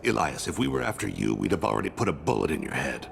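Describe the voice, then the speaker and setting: A man playing a calm, solemn alien assassin in a video game, with a gravelly voice and formal, deliberate phrasing. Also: a little hiss or hum, heavily acted. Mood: quietly menacing. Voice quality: deep gravelly rasp.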